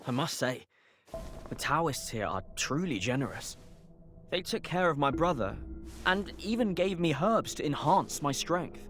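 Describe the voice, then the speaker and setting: Earnest, slow-spoken young warrior. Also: male voice, fairly high pitch, sing-song, a bit bright, slight background noise. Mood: grateful, cheerful. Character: earnest, grateful young male aspiring warrior. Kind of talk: video game cutscene acting.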